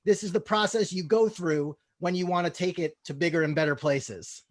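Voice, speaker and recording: High-voiced man hosting a comedy table read. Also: normal speed. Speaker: comedian host reading stage directions. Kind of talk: casual hosting and deadpan script reading.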